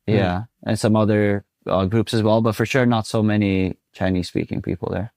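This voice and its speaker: A young Swedish man with a fairly low voice talks in a relaxed, chatty way, full of "you know"s. His voice is close and dry, with no room sound at all. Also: moderate pace, no echo. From Sweden. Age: 20s. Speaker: guest. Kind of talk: casual interview.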